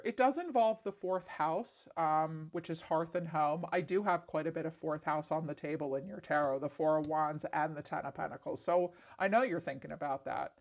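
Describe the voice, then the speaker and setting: A woman with a congested, raspy voice doing a chatty tarot reading, full of "like" fillers. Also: lowish voice. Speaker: tarot reader. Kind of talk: casual tarot reading to camera.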